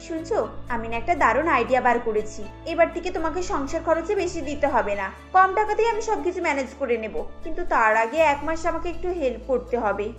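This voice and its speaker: A woman's voice: high-pitched, lively intonation, brisk, faint music behind. A woman playing a harried, thrifty middle-class housewife and mother in a cartoon, plaintive and emphatic. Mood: excited. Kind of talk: cartoon dialogue acting.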